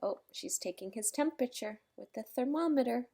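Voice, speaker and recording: A woman's voice: a little high, animated, brisk, gravelly, dark tone, breathy edge. A woman reading a picture book aloud to children, adding sympathetic asides about the characters. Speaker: read-aloud storyteller.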